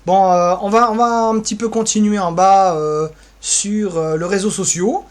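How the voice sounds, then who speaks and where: A man's voice: high voice, steady tone, moderate pace. A man giving an informal website walkthrough, hesitant and rambling, with playful asides. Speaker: presenter.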